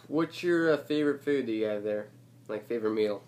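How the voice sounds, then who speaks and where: A man's voice: medium pitch, brisk, darkish tone. A man talking casually and crudely, full of profanity, as he hosts his own prank call video. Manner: crude, joking, rambling. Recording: noisy homemade call recording.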